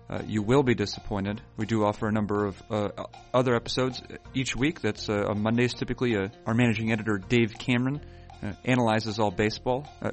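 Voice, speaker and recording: A man hosting a podcast, talking in a wry, halting way full of fillers, sounding sleepy early in the morning in a hotel room. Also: fairly low pitch. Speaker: podcast host. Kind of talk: rambling podcast intro and chat.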